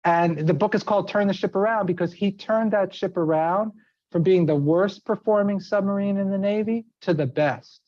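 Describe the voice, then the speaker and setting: A man's voice: sits high, moderate pace, a little mellow, dry recording. A middle-aged man from outside New York City giving a seminar talk, chatty and full of restarts and fillers. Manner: conversational, many fillers and restarts. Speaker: seminar speaker. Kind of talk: informal talk with anecdotes. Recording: dry, slightly dull sound.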